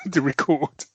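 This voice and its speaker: A man's voice: on the high side, slightly rough, no echo. A man co-hosting a podcast in casual chat, laughing easily and restarting his phrases. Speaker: podcast co-host.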